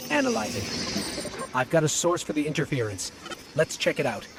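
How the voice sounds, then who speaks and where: A man's voice: a little high, huge pitch swings, a little fast, creaky, background noise, exaggerated voice. A man voicing a small, earnest, helpful robot companion in a video game, precise and encouraging. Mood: focused. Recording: loud music behind.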